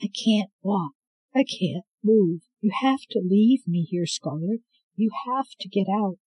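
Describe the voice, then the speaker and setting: A reader voicing a frail, injured old grandmother who speaks weakly and pleads through tears, pitched well above the reader's own voice. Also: expressive, measured pace, a little mellow, husky, no echo, rough recording. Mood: pleading weakly. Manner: weak, pleading, breaking.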